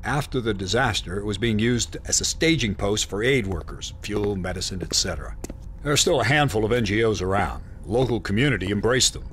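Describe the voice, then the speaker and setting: An actor playing a confident, blunt intelligence officer giving a clipped, matter-of-fact military briefing in a video game cutscene. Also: male voice, lowish voice, animated, fairly quick, fairly bright tone, slight background noise.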